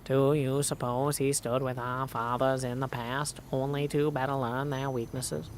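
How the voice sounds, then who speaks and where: Man doing a creaky, formal, suspicious game character. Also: medium pitch, little pitch movement, a little slow, slight background noise, lightly acted. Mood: grave, wary.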